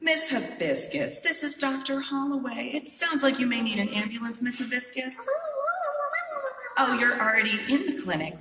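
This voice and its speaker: A woman's voice: on the high side, moderate pace, over loud music, close to an everyday voice. A woman playing a harried but patient doctor in a comedy radio play, placating and matter-of-fact. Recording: echoey hall, picked up from a distance.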